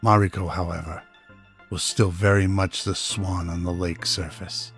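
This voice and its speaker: A man's voice: sits low, little pitch movement, unhurried, fairly bright tone. A man reading scripted narration for a radio-style drama. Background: music.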